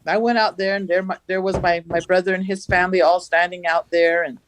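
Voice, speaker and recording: A woman from the Navajo Nation, a farmer, recounting events in a studio interview with a loud voice and an animated telling. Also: fairly low pitch, brisk, a little hiss or hum. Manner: animated, acts out the dialogue she recounts. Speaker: farmer, guest.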